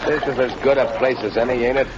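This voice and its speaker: A man acting a gruff, casual crook in a vintage radio play. His mid-pitched voice comes through a dull recording with clear noise. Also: fairly even intonation, quick pace, lightly acted. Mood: casual, impatient. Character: gruff, casual male gangster sidekick. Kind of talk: 1930s radio drama acting. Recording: muffled, noisy old radio recording.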